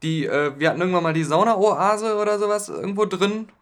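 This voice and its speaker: A man's voice: sits high, fairly quick. A man co-hosting a casual comedy podcast, joking and often laughing as he reads posts aloud. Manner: jokey, giggly, reads forum posts aloud. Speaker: podcast co-host.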